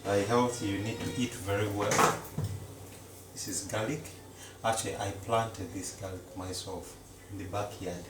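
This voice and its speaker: A man, a Luganda speaker, chatting casually to viewers as he cooks in a home kitchen, slow and repetitive. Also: fairly low pitch, fairly even intonation, roomy sound, recorded from a distance, background noise. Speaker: cooking vlogger. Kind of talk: casual live-stream chat.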